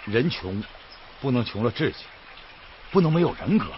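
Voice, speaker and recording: A man's voice: medium pitch, expressive, normal speed, a little hiss or hum, close to an everyday voice. An actor playing a kindly, principled boatman in a radio drama, with a folksy, earnest Northeastern Chinese accent. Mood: firm, earnest. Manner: folksy, earnest, plain-spoken. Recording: dull radio recording with loud music.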